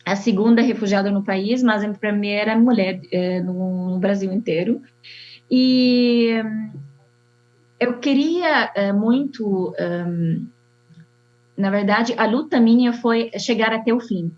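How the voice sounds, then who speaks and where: A young woman from Syria with an Arabic accent, an architect telling her story slowly and haltingly, with many fillers. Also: mid-range voice. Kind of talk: personal testimony. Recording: dull lo-fi sound.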